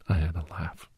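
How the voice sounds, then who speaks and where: A man playing a weary, confiding doctor who hunts shapeshifters, telling his story in a hushed, halting, breathy ASMR style. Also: slight background noise. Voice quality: breathy, often whispered.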